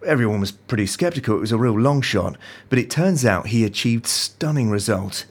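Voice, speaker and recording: An actor playing an eager, ambitious intelligence agent with a self-satisfied manner in an audio drama. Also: male voice, fairly low pitch, expressive, fairly quick, husky. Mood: smug, excited.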